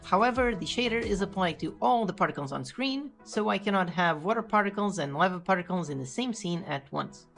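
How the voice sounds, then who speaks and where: A woman's voice: mid-range voice, expressive, a little fast, few pauses, fairly bright tone. Woman narrating over music, explanatory. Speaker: video host and game developer. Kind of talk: scripted tutorial devlog narration. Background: background music.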